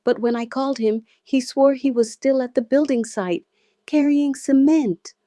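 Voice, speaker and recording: A woman's voice: sits high, normal speed, mellow, dry recording, clean studio sound, voice pushed up, lightly acted. A woman voicing a distraught Nigerian mother who sells corn on the street, wailing and pleading, in a dramatic storybook tale.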